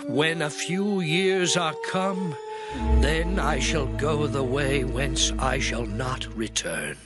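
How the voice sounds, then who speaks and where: An actor playing an anguished, suffering man lamenting in a dramatized Bible audio drama, declaiming mournfully in old King James English. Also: fairly high pitch. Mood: pleading, crying out. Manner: declamatory, mournful, sweeping delivery with long pauses. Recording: music behind the voice. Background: dramatic music.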